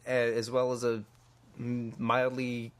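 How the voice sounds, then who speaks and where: A man's voice: mid-range voice, completely flat delivery, slow pace. A man co-hosting a podcast, chatting casually about pop culture in an enthusiastic, somewhat hesitant way. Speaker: podcast co-host. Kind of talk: casual chat about video games and films.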